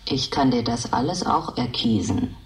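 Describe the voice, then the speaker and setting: A woman playing a gentle, earnest talking machine with a faulty word memory in a German radio drama. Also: very deep voice, animated, distant mic, low-quality recording. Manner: slow, garbles words and corrects itself.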